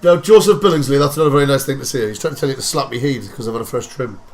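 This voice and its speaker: A man's voice: mid-range voice, racing. A man, co-hosting a casual football live stream with joking, self-mocking banter. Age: 30s. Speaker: football channel co-host.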